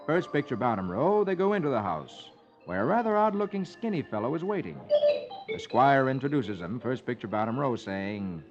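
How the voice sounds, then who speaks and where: Animated vintage radio storyteller, man. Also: mid-range voice, normal speed. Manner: theatrical storytelling. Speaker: host reading comics aloud. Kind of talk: 1950s radio dramatic narration. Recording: muffled old radio recording, music behind. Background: music.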